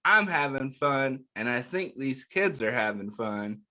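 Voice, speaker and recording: A man's voice: mid-range voice, expressive, unhurried, sharp tone. A man hosting children's storytime, reading a picture book aloud and talking directly to young listeners with simple, encouraging questions. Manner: talks directly to young listeners, asking questions and answering them.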